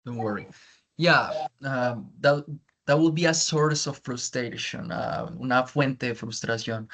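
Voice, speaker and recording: A man's voice: mid-range voice, a little slow, fairly bright tone. A man with a strong Spanish accent, a native Spanish speaker, teaching English and giving feedback on a video call, often restating points in Spanish. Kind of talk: online feedback session.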